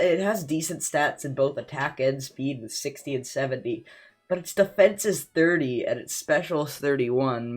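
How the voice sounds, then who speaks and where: A young man narrates his game challenge run in a middle-pitched voice. He keeps it casual and throws in self-deprecating jokes. A slight cough sits in his voice. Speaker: gaming YouTuber. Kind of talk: game challenge commentary over gameplay.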